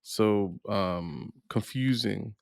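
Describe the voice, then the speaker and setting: A man hosting a podcast in casual discussion, halting as he thinks aloud. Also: on the low side, vocal fry, dry recording, clean studio sound. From United States. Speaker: podcast host.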